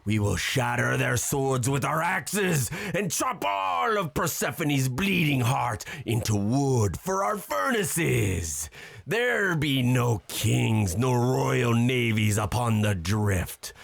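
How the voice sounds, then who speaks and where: A resolute sea captain in a seafaring audio drama, his voice heavily creaky and husky. He speaks slowly and solemnly, like an orator commanding a crew. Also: mid-range voice, very animated, dry recording, heavily acted. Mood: rousing, defiant. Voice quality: gravelly, raspy. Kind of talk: theatrical nautical audio drama acting.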